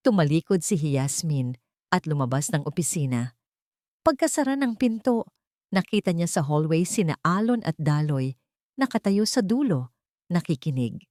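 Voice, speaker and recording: A woman with a Filipino accent reading a dramatic scripted audiobook story in a theatrical, deliberate way. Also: low voice, darkish tone, dry recording, studio quality. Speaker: audiobook narrator.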